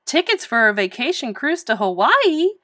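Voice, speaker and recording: A woman's voice: medium pitch, animated, normal speed, fairly bright tone, dry recording, studio quality, lightly acted. A woman voicing exasperated, sensible parents of a young boy, firm and complaining, in a children's storybook. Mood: shocked.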